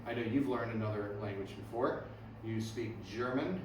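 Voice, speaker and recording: A man's voice: on the low side, moderate pace. A man teaching a music theory workshop to students, often quizzing them and checking in with "right?" and "okay". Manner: friendly questioning of students, frequent "right?" and "okay". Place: music classroom. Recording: echoey room, distant mic, background noise.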